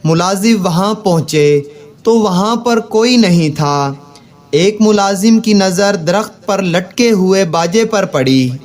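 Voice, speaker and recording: Male storyteller for kids' moral tales, high-pitched voice, very unhurried pacing. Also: animated, a little mellow. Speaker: narrator. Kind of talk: scripted children's moral story narration.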